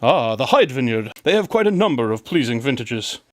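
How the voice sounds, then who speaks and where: An actor playing an aloof, methodical detective in a radio drama, with a dry, pompous, clipped manner. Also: male voice, medium pitch, sing-song, fairly quick, dry recording, natural voice. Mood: dry deduction. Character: aloof, methodical male detective in the Sherlock Holmes mould.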